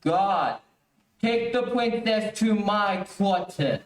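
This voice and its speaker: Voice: mid-range voice, animated, takes it slowly. An actor playing an imperious, stern rebel prince in an old radio serial, declaiming commands. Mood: stern, commanding. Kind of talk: 1930s radio serial acting. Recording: echoey room, distant microphone.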